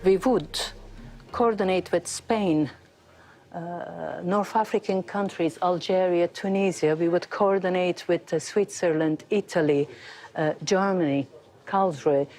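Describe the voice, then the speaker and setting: A middle-aged woman explaining as an interviewed operations expert, pausing mid-sentence. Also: on the low side, darkish tone. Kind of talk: explaining at a display.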